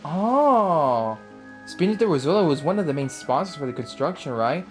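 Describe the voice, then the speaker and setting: A woman giving casual, reactive gameplay commentary as a video host, thinking aloud in a halting, repetitive way. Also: deep voice, sing-song, a little mellow. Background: game music.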